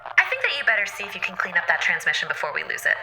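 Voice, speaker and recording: A woman playing a composed, helpful female shipboard artificial intelligence in an audio drama, gently teasing and measured. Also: fairly low pitch, big pitch swings, soft background music, heavily acted, low-quality recording. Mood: fond, gentle.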